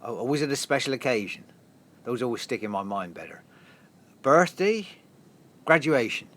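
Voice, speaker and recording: An actor playing a gentle, inquisitive man in a bittersweet comic audio play. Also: medium pitch, big pitch swings, moderate pace. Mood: curious guessing.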